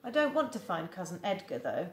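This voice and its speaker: A woman voicing a lively, boastful little girl in a storybook reading. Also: a little fast, echoey room. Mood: eager. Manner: high, bright, excited girlish voice.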